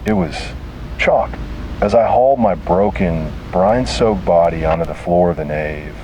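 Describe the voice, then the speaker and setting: A man reading a scripted horror narration in a grave, halting, dramatic way with heavy pauses. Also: deep voice, animated, mellow, slight background noise, rough recording.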